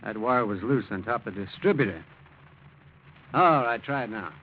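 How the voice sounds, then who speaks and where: A man's voice: on the low side, animated, normal speed, natural voice. A dull, noisy vintage radio recording carries an actor playing a brusque night patrol cop. He is curt and nosy, firing off questions in old radio drama style. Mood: brisk, suspicious. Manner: curt, interrogating. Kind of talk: 1940s radio drama acting.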